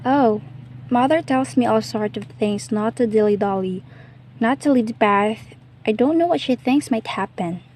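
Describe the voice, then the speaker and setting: A woman's voice: on the high side, normal speed, a little mellow, a little hiss or hum, lightly acted. A woman playing an innocent, cheerful young girl in a storybook radio drama. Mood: breezy. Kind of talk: amateur radio drama acting.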